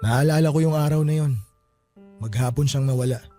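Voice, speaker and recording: An actor playing a grieving, guarded and easily frightened son in a Filipino radio drama, speaking colloquially with emotion. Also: male voice, medium pitch, fairly quick, warm tone, close to an everyday voice. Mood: sorrowful. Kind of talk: Tagalog radio drama acting. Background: background music.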